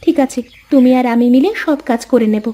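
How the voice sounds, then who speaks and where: A woman's voice: high voice, brisk, mellow. A woman voicing a dutiful, worried young village co-wife in a cartoon fairy tale, earnest and pleading.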